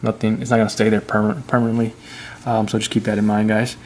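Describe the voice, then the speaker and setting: A man giving a casual, unscripted how-to in an informal, halting, repetitive way, like a hobbyist vlogger. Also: fairly low pitch, flat delivery, darkish tone, a little hiss or hum. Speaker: vlogger showing a DIY car install. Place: in and around a Jeep.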